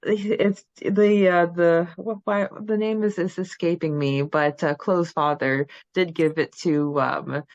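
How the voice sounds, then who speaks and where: A woman's voice: on the low side, steady tone, slow pace, hardly any pauses, darkish tone, no echo, lo-fi sound. A woman co-hosting a podcast, chatting casually and conversationally about a book, with a giggly manner. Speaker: podcast co-host. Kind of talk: casual book discussion chat.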